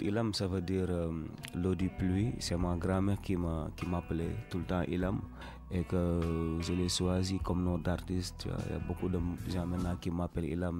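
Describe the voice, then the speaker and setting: A man, a musician from Senegal, chatting casually in a radio studio, relaxed and rambling with fillers. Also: low-pitched. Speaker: musician guest. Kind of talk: casual interview talk.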